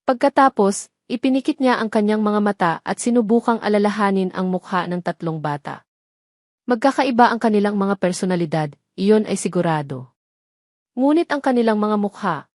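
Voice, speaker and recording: A woman's voice: mid-range voice, expressive, moderate pace, a little mellow, dry recording. Woman narrating a dramatic story, dry sound.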